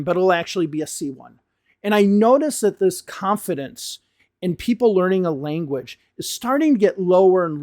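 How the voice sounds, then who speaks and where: A man's voice: high-pitched, a little mellow, no echo, studio quality. An American man, an English teacher, giving a frank, impassioned talk to his learners with emphatic stresses. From United States. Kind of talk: talking-head video lesson.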